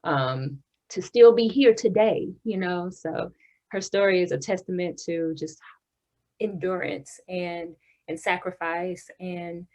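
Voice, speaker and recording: A young woman from the Houston area of Texas talks about her upbringing as an interview guest. She is chatty and warm, laughs often and fills pauses with ums. Her voice sits a little low. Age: young adult. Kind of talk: casual interview chat.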